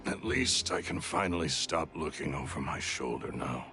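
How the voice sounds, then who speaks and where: An actor playing a proud, cynical, cornered criminal in a video game, unrepentant, with a bitter, eloquent, theatrical delivery. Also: male voice, extremely low pitch, expressive, moderate pace, over loud music. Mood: bitter, resigned. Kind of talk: dramatic English video game voice acting.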